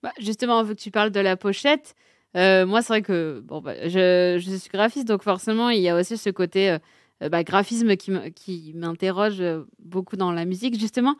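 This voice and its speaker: A woman radio host asks a musician casual, chatty questions. Her voice sits at an ordinary pitch, and the studio around her is dry and close. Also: normal speed, dry recording. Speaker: radio interviewer.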